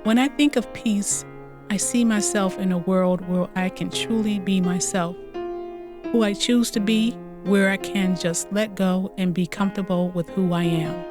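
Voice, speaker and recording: Woman slowly reciting a poem over music. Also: mid-range voice, fairly even intonation.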